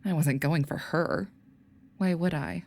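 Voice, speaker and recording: A seductive demon in a woman's body, acted by a woman for an audio roleplay. The voice is low and creaky, slipping into breathy whispers as it mocks and teases in drawn-out phrases. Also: a little mellow, full character voice. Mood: smug, musing. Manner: mocking, teasing, drawn-out phrasing.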